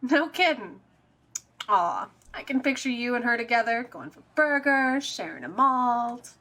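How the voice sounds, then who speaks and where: A woman playing a sassy, easily exasperated detective's sidekick in an audio drama, teasing and incredulous in a brisk way. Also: on the high side, lively intonation, sharp tone.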